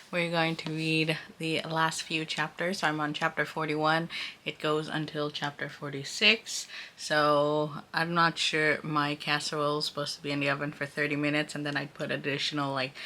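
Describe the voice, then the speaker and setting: A woman's voice: deep voice, pushed and bright. A woman talking informally in a reading vlog, thinking aloud and reacting as she goes. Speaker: book vlogger.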